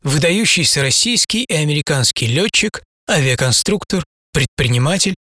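A man reading a dramatised first-person story in a theatrical, measured manner with pauses. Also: a little high, lively intonation. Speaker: author and narrator of a radio programme. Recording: loud music under the voice. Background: music.

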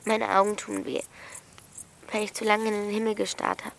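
A woman's voice: mid-range voice, level tone, normal speed, vocal fry, lightly acted. An actress playing a bold, bossy thirteen-year-old girl in a radio play, blunt and protective of her friend. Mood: reassuring. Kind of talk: German radio play acting.

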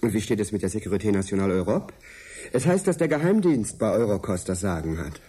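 A husky, mellow, probing male journalist. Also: on the low side, sing-song, fast talker. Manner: probing, articulate questioning. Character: persistent, dryly sceptical male freelance science journalist. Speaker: actor. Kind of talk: radio drama acting.

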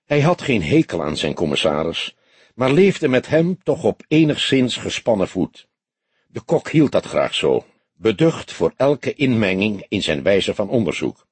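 A man giving an expressive scripted narration of a detective novel. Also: fairly low pitch, unhurried, slightly rough, no echo. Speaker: audiobook narrator.

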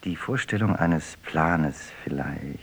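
An actor playing a man who reminisces in deliberate, playful fragments in a literary radio play, with a husky voice. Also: extremely low pitch, heavily acted. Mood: pondering.

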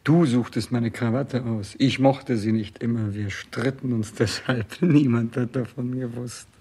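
A man's voice: mid-range voice, steady tone, unhurried, dark tone, slightly rough. An actor playing a self-absorbed dying king in a 1960s German radio play, wavering theatrically between commands and murmured reverie. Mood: nostalgic, sad.

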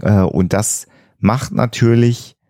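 A man's voice: lowish voice, slow, dark tone, no room sound, clean studio sound. A man who explains things as a podcast host, often rephrasing himself as he goes.

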